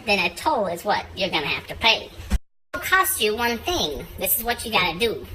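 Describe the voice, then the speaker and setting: A woman's voice: medium pitch, sharp tone, voice pushed down. A weird little bridge keeper, acted by a woman, folksy and laid-back as he takes his toll. The voice is pushed well down from the performer's own, in a slightly noisy storybook recording. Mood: sly, casual.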